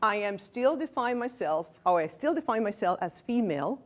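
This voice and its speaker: A Venezuelan-accented woman in her late thirties gives a training talk to a small group in a room. She speaks candidly, pokes fun at herself and breaks off to restart words. Her voice sits at an ordinary middle pitch for a woman. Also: expressive, moderate pace. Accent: Venezuelan Spanish. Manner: candid and self-deprecating, joking asides, restarts words. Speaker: physician giving a staff training. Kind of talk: lecture to a workplace group.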